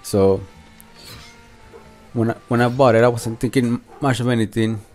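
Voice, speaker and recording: Male game channel host, relaxed dark tone, halting conversational delivery, music playing underneath. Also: mid-range voice. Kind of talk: casual unscripted gameplay commentary.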